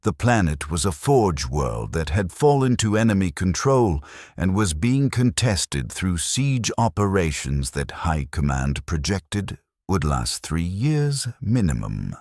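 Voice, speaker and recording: A man narrating a scripted sci-fi war story as a weary, resigned military logistics officer, solemn and measured. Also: low voice, very animated, darkish tone, no echo, clean studio sound, exaggerated voice. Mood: somber, resigned.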